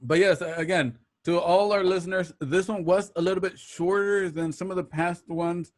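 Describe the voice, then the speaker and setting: A man hosting an online show, giving casual, slightly halting announcements and plugs to listeners. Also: high-pitched, fairly even intonation. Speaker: host of an online radio drama show.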